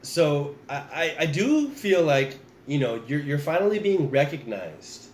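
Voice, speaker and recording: A man's voice: fairly high pitch, a little slow, slight background noise. Man reading tarot, echoey room. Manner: relaxed, repeats and restarts, addresses viewers directly. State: getting over the flu, nasal. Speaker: tarot reader. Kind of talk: casual spoken tarot reading.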